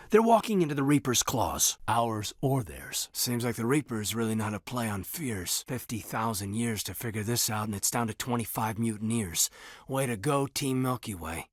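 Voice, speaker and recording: A man voicing an earnest, loyal military officer in a sci-fi video game, sincere and restrained, with a husky voice. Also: mid-range voice, no echo, clean studio sound, lightly acted. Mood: wry, concerned. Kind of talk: naturalistic dramatic game dialogue.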